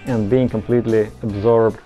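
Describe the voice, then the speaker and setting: A man's voice: on the low side, flat delivery, normal speed, warm tone. A man presents an explanatory video in semi-scripted narration. The recording is a little dull, and music plays faintly underneath. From Soviet Union.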